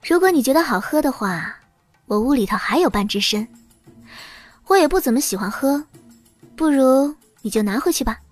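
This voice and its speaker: High-pitched, spirited young princess consort, acted by a woman. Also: expressive, moderate pace, long pauses, no echo, soft background music. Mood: breezy, placating. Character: spirited, sharp-witted young princess consort, breezy and defiant. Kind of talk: audio drama acting in Mandarin.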